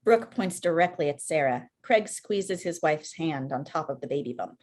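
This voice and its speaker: Low-pitched woman reading stage directions. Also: expressive, normal speed, a little mellow. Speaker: filmmaker, stage-direction reader. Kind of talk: scripted narration of screenplay directions. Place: remote video call.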